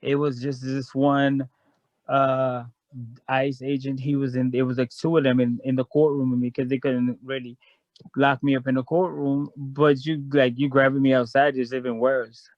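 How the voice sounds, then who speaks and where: A man's voice: mid-range voice, flat delivery, normal speed, darkish tone, no echo, dull audio. A man from Guyana, a guest in a casual chat, telling a long story in a frank, rambling way.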